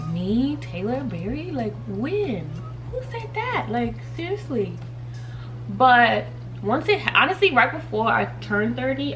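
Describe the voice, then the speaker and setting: A woman of thirty with a mid-pitched voice, chatting casually through a makeup routine. She giggles often and talks to her viewers as y'all. Soft music plays faintly behind her. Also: poor audio. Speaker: beauty and faith vlogger. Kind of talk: casual makeup tutorial chat.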